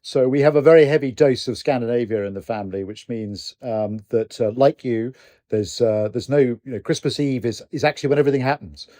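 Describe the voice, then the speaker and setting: A man's voice: mid-range voice, a little fast, warm tone, dry recording. An older man whose first language is Norwegian, co-hosting a podcast in casual chat, hesitant with many restarts. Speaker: co-host, retired general.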